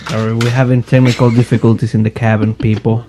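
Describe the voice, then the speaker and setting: A man's voice: mid-range voice, flat delivery, fast, background noise. A man hosting a casual podcast with friends, teasing them and laughing often. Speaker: podcast host. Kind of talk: casual joking chat among friends.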